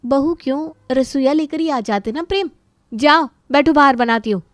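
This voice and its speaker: A woman's voice: high-pitched, normal speed, a bit bright, no echo, voice pushed up. A woman voicing a young, overworked, resentful daughter-in-law who complains in exasperation in an Indian family story. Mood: exasperated complaining.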